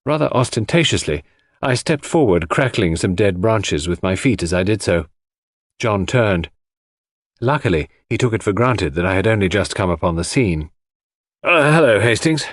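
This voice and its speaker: Expressive low-voiced male narrator. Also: moderate pace, dry recording, studio quality. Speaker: audiobook narrator. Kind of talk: scripted first-person story narration.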